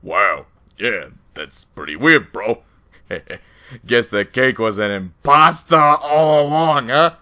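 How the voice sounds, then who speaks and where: A man's voice: medium pitch, lively intonation, slow pace, sharp tone, no echo, dull audio, heavily acted. A man voicing a laid-back, teasing skeleton brother in a comic dub, talking in a casual, wry drawl. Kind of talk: animated comic dub acting.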